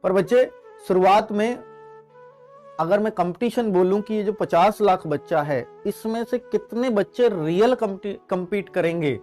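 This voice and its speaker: A man's voice: sits high, unhurried, a little mellow, faint music behind. A man speaking as an exam coaching teacher, explaining and advising students, repeating key phrases and answering his own questions. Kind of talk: motivational exam advice to students.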